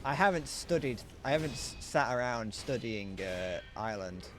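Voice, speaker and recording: A man's voice: medium pitch, lively intonation, normal speed, over music. A young man streaming a game, chatting casually in a rambling, self-deprecating way that keeps trailing off mid-sentence. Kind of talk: casual chat while gaming.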